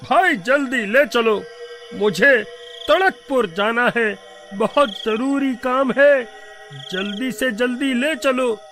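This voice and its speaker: A man playing a hurried, anxious traveller in a cartoon horror story, fretful and pleading. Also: very high-pitched, pushed and bright, soft background music, full character voice. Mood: impatient.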